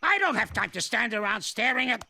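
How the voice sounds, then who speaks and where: A man's voice: very high voice, big pitch swings, fairly quick, exaggerated voice. An actor playing a grumpy, bossy old male ape in a TV cartoon, barking insults and grumbling at everyone. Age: older. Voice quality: gravelly old-man voice. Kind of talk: TV cartoon voice acting. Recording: cartoon soundtrack with music. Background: music score.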